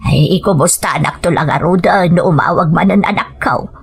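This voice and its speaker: A woman voicing a gentle, concerned elderly mother-in-law with an Ilocano accent, in a motherly, cautioning manner for an audio drama. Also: on the low side, fairly quick, vocal fry, slightly rough, pitched below the performer's own voice, full character voice. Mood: gently concerned. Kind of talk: radio-style drama acting.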